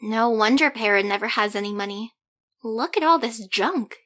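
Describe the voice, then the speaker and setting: A woman's voice: on the high side, moderate pace, bright, forceful tone. A woman acting in an audio drama as a blunt, inexperienced but good-hearted woman.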